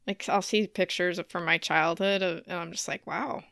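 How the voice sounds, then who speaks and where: A woman's voice: fairly low pitch, steady tone, normal speed, fairly bright tone, dry recording, studio quality. A woman chatting casually and informally as a podcast co-host, easily amused. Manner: laughs readily. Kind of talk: casual chat.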